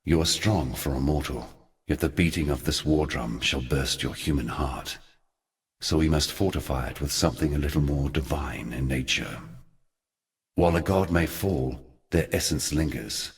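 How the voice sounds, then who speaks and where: An actor playing a solemn, scheming ancient god in a video game, speaking in measured, grandiloquent monologue. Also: male voice, a bass voice. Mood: grave, persuasive.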